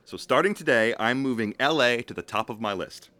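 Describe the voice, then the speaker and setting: An actor playing a cocky, passionate, bantering young soccer fan in an audio drama. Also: male voice, medium pitch, fairly quick, natural voice. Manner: bantering, animated.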